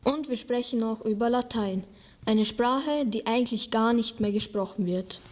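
Teen girl, school radio host; fairly high voice, scripted reading. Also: moderate pace. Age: teens. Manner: reads clearly from a script. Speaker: student radio presenter.

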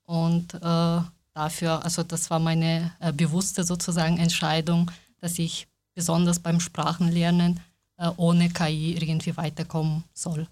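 A woman's voice: fairly low pitch, monotone, a little slow, darkish tone. A woman whose first language is not German, speaking hesitantly in a casual discussion. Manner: hesitant, with fillers.

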